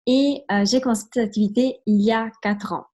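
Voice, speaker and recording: A woman's voice: on the high side, steady tone, brisk, mellow. A young woman from Switzerland, speaking French with a light Italian accent. She hosts an online video conference and tells her own story in a chatty, filler-filled way, with small laughs scattered through her speech. Her voice comes over a video call. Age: 20s. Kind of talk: testimonial presentation.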